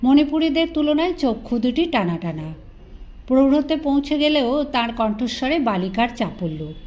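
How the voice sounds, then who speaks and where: A woman's voice: high voice, sing-song, normal speed, a little mellow, lo-fi sound. A woman reading a literary story aloud as an audiobook narrator, in a scripted narrative style.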